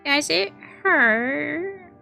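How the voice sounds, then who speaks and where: Woman voicing a very high, slow little boy. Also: expressive, creaky, sharp tone, faint music behind, heavily acted. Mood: hesitant, concerned. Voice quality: slight lisp, childlike. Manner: slow, hesitant, drawn-out delivery. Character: innocent, affectionate little boy.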